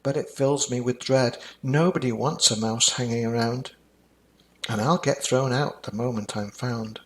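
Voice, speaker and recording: A man's voice: mid-range voice, a little slow, lightly acted, rough recording. A man voicing a tiny, timid young mouse who is lonely and polite, in a rhyming children's storybook. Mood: sad, meek.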